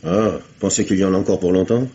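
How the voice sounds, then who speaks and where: A man playing a quick, eager police assistant. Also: low voice, fairly even intonation, mellow, close to an everyday voice. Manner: deferential and chatty. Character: eager, superstitious young male police assistant. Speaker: police inspector. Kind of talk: 1950s French radio drama acting. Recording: dull old lo-fi radio recording.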